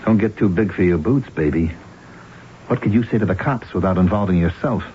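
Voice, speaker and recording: An actor playing a greedy, menacing schemer in a radio drama, with an American accent and slangy talk that swings between cajoling and threatening. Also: male voice, sits low, brisk, close to an everyday voice. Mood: menacing, furious. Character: smooth-talking male schemer, menacing and greedy. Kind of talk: 1970s radio drama acting. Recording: muffled old radio recording, faint noise.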